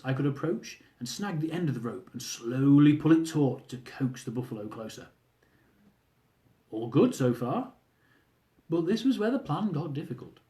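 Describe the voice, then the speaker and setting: Man reading his memoir aloud, mellow tone. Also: fairly high pitch. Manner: wry, humorous storytelling, with asides to listeners. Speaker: author reading his own book. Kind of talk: audiobook-style reading of a travel memoir.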